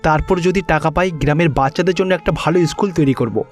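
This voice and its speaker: Man voicing an earnest, high-pitched cartoon village headman. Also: fast, natural voice. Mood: grateful, resolved. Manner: earnest, caring. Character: kindly, earnest male village headman, troubled for his people. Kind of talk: cartoon story dialogue acting. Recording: music behind the voice.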